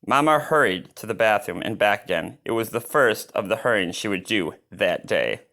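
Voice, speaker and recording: A man reads a children's storybook aloud in an expressive manner, adding his own exclaiming asides. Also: lowish voice, moderate pace, vocal fry. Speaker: storybook reader.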